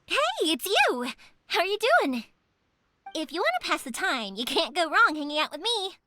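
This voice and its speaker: A woman voicing a cheerful, chatty young woman in a video game, with a girlish, expressive, earnest delivery. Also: extremely high pitch, moderate pace, exaggerated voice. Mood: cheerful, friendly. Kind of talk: video game character voice lines. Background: background music.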